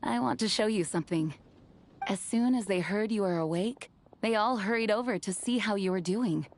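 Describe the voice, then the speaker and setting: Woman playing calm reassuring lady in charge, game character, medium pitch, caring. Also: normal speed, close to an everyday voice. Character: composed young woman leader, caring and reassuring. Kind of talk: video game voice acting.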